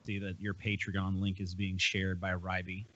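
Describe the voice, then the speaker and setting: A man's voice: sits low, monotone, moderate pace, fairly bright tone, dull audio, slight background noise. A man hosting a podcast, chatting casually with crude, heavily profane language and reading out viewer messages. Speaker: podcast host.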